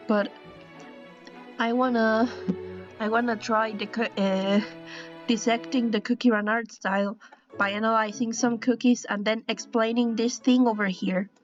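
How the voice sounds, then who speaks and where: A woman with a Spanish accent, a native Spanish speaker, explains a drawing style in a casual tutorial, hesitant, with many restarts and apologies. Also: a little high. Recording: dull lo-fi sound with faint music.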